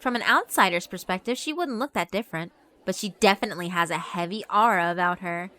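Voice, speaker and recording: A woman's voice: medium pitch, quick pace, sharp tone, lightly acted. A woman voicing a scheming yokai sister who taunts and gloats in a theatrical, cackling manner. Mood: excited. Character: gleeful scheming yokai sister, cruel and mocking.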